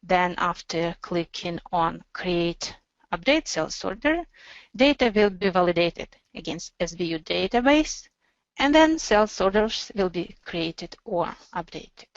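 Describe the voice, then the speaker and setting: A woman narrating an instructional software demo as a webinar presenter, explaining in a measured, step-by-step way. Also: on the low side, lively intonation, dry recording, dull audio.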